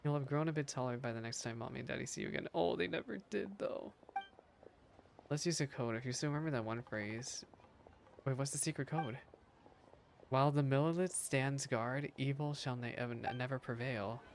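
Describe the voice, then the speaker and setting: A man's voice: medium pitch, a little fast, creaky. A man giving casual, reactive playthrough commentary, sometimes reading game text aloud and repeating himself for emphasis. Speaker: gaming reaction streamer.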